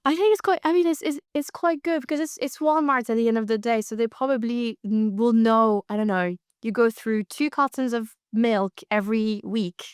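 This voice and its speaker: Female podcast co-host, smooth clear voice at medium pitch, thoughtful conversational delivery, dry close sound. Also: moderate pace, few pauses, no room sound. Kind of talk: casual news discussion.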